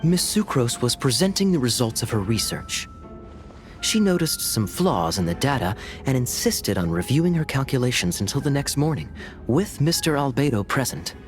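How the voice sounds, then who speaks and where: A man playing a smooth-talking, wry defense advocate in a fantasy game trial. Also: mid-range voice, sing-song, normal speed, soft background music, close to an everyday voice. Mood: polished, reasoning. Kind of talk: scripted fantasy game cutscene acting.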